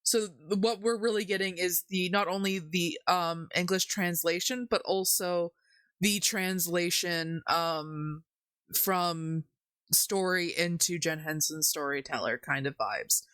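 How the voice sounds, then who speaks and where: A woman's voice: on the low side, unhurried, fairly bright tone, no echo. A woman hosting a livestream, chatting casually and jokily about folk tales in a rambling way. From Canada. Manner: jokey, giggly, rambling. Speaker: livestream host.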